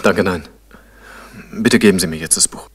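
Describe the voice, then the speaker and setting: A man's voice: low voice, moderate pace, a bit bright, husky, no echo. A man playing a tense, impulsive young schemer in a 1970s German radio play, terse, formal and defensive. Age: young adult.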